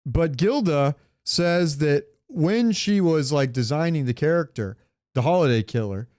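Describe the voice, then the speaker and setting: Clear, slightly high-voiced guy chatting enthusiastically. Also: lively intonation, a little slow, a little mellow, no echo, studio quality. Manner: enthusiastic, profane, slangy. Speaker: podcast co-host. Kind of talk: casual chat about comics, movies and games.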